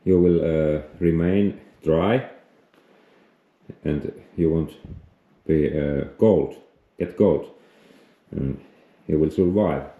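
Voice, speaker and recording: Finnish-accented man, halting non-native English, unhurried, roomy recording. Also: a bass voice, phone-quality sound. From Finland. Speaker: outdoors vlogger. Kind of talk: casual instructional vlog. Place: outdoors on a frozen lake, later indoors.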